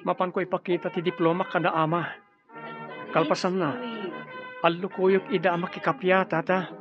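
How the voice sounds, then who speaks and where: A man's voice: high-pitched, level tone, normal speed, dull audio, over music, close to an everyday voice. An actor playing an earnest, respectful, peace-loving young man fresh from graduation in an Ilocano radio drama. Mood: earnest, pleading.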